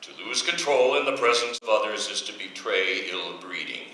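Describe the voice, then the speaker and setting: An actor playing a stuffy, pompous middle-aged school principal with formal, restrained diction in old-time radio comedy style. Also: male voice, lowish voice, animated, moderate pace, bright, forceful tone. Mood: stiffly restrained. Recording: echoey hall with background noise.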